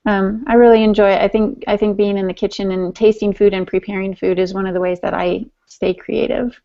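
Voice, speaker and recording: A woman's voice: mid-range voice, fairly even intonation, fairly quick, vocal fry, warm tone. Woman chatting in dull lo-fi audio. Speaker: podcast co-host and writer. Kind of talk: casual chat.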